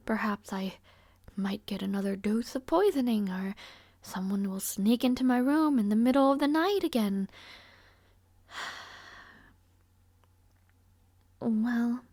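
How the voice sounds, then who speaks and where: A woman's voice: fairly high pitch, animated, takes it slowly, long pauses, darkish tone, dry recording, exaggerated voice. A woman playing a rude, arrogant but somewhat shy young princess in an audio roleplay, speaking in a formal, languid, world-weary way. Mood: weary, resigned. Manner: haughty, languid, sighing, formal wording. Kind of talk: scripted audio roleplay acting, addressing the listener. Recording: close, dry, no ambience.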